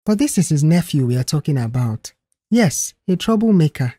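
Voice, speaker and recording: A woman voicing a fair-minded, concerned mother of grown sons in an audio story, gently reasoning. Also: sits low, big pitch swings, moderate pace, husky, no echo, studio quality, heavily acted.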